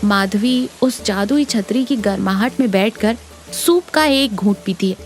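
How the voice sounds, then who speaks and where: A woman's voice: mid-range voice, measured pace, faint music behind. A woman reading scripted narration for a cartoon moral story.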